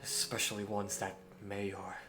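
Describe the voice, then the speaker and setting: A man playing a courteous, earnest young Victorian gentleman hero in a scripted audio roleplay, with formal, hesitant phrasing. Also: fairly low pitch, completely flat delivery, moderate pace, faint music behind. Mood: fond, bashful.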